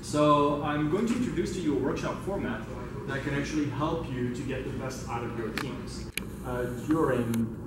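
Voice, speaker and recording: A man's voice: fairly high pitch, normal speed. Echoey, distant man presenting to a room. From Belgium. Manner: conversational, engages the audience with questions. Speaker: workshop facilitator, speaker. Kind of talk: informal talk to a meetup audience. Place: indoor meetup room. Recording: very echoey, noisy room, far from microphone.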